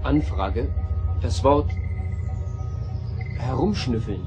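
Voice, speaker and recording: An actor playing a literal-minded, curious android officer in a science-fiction radio play, speaking precisely and pedantically. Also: male voice, mid-range voice, drawn-out words, mellow. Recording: radio play with music and effects underneath.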